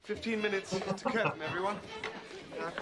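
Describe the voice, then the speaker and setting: A man's voice: high voice, normal speed, very noisy, lightly acted. A middle-aged man playing a flustered, bookish teacher in a TV drama, stammering politely and awkwardly. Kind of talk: TV drama acting. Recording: TV playback with music, crowd.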